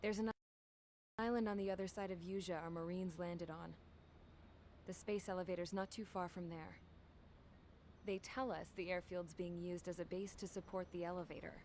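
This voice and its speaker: Cocky, low-voiced woman convict, acted. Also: steady tone, moderate pace, pauses often, a little mellow, a little hiss or hum, natural voice. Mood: wry, matter-of-fact. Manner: casual, slangy, sardonic. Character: cocky, wry young woman convict mechanic narrating her war. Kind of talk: first-person narration in a game cutscene.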